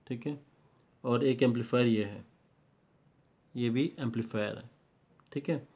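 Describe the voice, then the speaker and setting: A man's voice: mid-range voice, a little mellow. A man with an Indian accent giving an informal, step-by-step technical tutorial, explaining repetitively with short confirmations and mixing in English technical terms. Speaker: technical trainer. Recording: dull lo-fi microphone sound.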